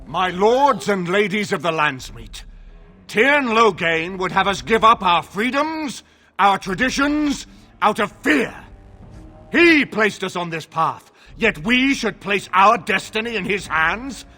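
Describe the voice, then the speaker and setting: An actor playing a noble lord making an impassioned, formal speech in a fantasy game. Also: male voice, sits high, animated, slow pace, sharp tone, full character voice. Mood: impassioned appeal. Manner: declamatory, formal. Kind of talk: theatrical fantasy game oratory.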